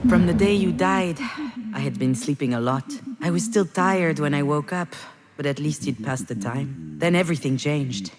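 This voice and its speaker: An actress playing a grieving, reflective screenwriter who confides her story in measured, intimate first-person narration for a video game. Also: low-pitched, animated, a little mellow, slight background noise. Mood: weary, grieving. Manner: measured, introspective, pausing between phrases. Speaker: narrator of a visual novel.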